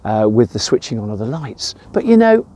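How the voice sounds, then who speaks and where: Older man, English accent, mellow tone, lively swooping intonation, informal church devotional. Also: mid-range voice, brisk. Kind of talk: informal devotional talk with personal anecdotes.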